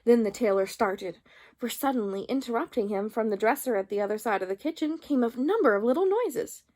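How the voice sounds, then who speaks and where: A woman storyteller reading a children's book aloud in an expressive narrating style. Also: a little high, fairly quick.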